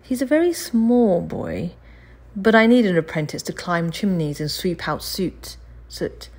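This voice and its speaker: A woman's voice: on the low side, expressive, unhurried, slightly rough, heavily acted. Woman playing a blunt, callous chimney sweep man. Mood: sizing up. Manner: loud, blunt, uneducated grammar.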